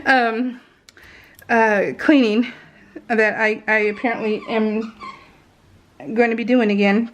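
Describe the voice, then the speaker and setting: A woman chatting casually and ramblingly to her viewers as a craft video host, in a giggly, self-deprecating manner. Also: fairly high pitch. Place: indoors at home, voice-over recorded while crafting.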